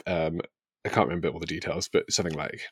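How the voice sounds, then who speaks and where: Male book podcaster, deep voice, thoughtful conversational delivery, dry close sound. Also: steady tone, quick pace, fairly bright tone, breathy edge, no room sound. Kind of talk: casual book discussion.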